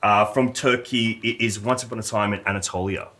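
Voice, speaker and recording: A man with a fairly low voice hosts a relaxed talk about films. He speaks earnestly and reflectively, and his sentences are loose and full of "like" and "yeah". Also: a little fast, heavy background noise. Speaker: host. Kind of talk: casual chat.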